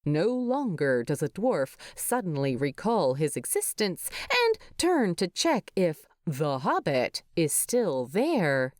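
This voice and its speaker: A woman reading a story aloud as an expressive scripted narration. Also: on the low side, slow pace, hardly any pauses, no room sound, clean studio sound. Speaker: narrator of fan fiction.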